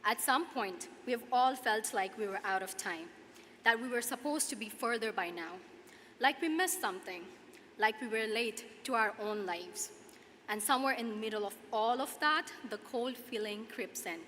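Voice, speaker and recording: Woman, 20s, Nepali accent, student commencement speaker; forceful bright tone, earnest measured phrasing, big reverberant hall. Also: fairly high pitch, animated. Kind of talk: prepared commencement speech. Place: large hall at a podium, audience ambience. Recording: echoey hall PA, clear background noise.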